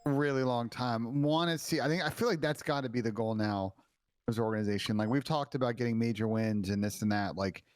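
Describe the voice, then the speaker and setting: A man's voice: mid-range voice, fairly quick, faint music behind. A young man co-hosting an esports talk show, giving opinionated takes that he hedges with "I think". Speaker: esports analyst co-host. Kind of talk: casual esports chat.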